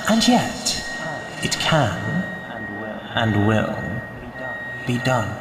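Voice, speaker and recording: A man's voice: medium pitch, sing-song, drawn-out words, roomy sound, big-room sound, over a noisy crowd. An actor playing an eccentric, teasing, mysterious male ally in an audio drama, with a theatrical, playful delivery. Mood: playful, insistent.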